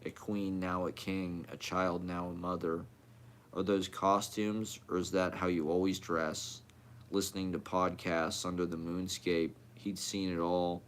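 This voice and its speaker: Low, flat-voiced man reading poetry. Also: unhurried, slight background noise. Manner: short clipped phrases, one fragment at a time. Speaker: poet.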